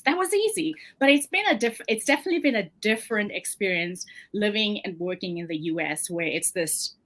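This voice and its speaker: A woman's voice: mid-range voice, a bit bright. A woman with a South African accent, a guest author sharing personal experiences in a casual interview, earnest and given to laughing as she talks.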